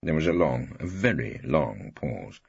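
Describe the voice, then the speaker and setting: Male audiobook narrator, wry and theatrical, husky, close dry sound on a slightly dull recording. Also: extremely low pitch, very animated, unhurried, dry recording. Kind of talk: scripted first-person storytelling as a barrister.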